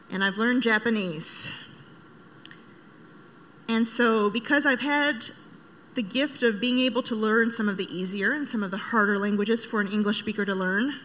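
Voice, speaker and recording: A woman answers a question about her future work at church in a bright, clear voice. She muses aloud and pauses with frequent ums. There is faint ambient noise behind her. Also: medium pitch, low-quality recording. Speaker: missionary candidate.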